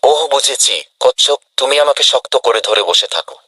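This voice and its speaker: A man voicing a helpful, brisk rabbit in a children's cartoon, on a noisy track. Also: medium pitch, close to an everyday voice. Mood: urgent.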